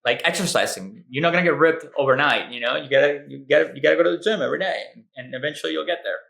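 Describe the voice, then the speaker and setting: Gravelly-voiced man, relaxed and chatty. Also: fairly high pitch, rapid-fire, low-quality recording. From Venezuela. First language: Spanish and Italian. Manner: relaxed, chatty, frequent fillers. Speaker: guest, advertising expert. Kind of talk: casual interview chat.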